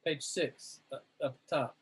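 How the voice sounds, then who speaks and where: A man's voice: a little high, fairly even intonation, takes it slowly, breathy edge. A man taking part in a casual amateur read-through of comic dialogue. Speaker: reader in an amateur comic dramatic reading.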